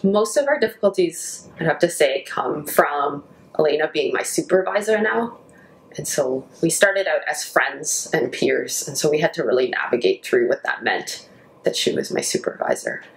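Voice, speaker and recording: A woman's voice: low-pitched, expressive, moderate pace, creaky, slight background noise, rough recording. A woman who works as a campus minister, grew up in Canada, talking casually about her life and friendship in an interview.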